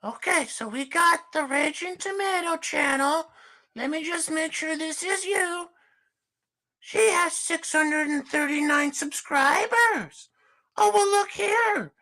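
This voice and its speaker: A man voicing a gushing woman host in falsetto on a comedic livestream, starting almost every line with "well". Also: slow, pushed and bright, heavily acted, rough recording. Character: shrill fake woman hostess, gushing. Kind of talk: comedic livestream character bit.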